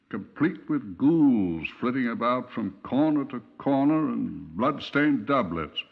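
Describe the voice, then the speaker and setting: An actor playing an urbane British chief superintendent who narrates a 1950s radio crime drama in a measured, dryly witty way. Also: male voice, medium pitch. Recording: dull 1950s radio recording.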